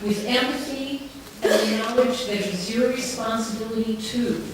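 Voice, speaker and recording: A woman's voice: on the high side, normal speed. Echoey, distant woman making a public address. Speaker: campaigner addressing a council committee. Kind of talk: prepared public speech and answers to questions. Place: large town hall meeting room. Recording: very echoey hall, distant mic, clear background noise.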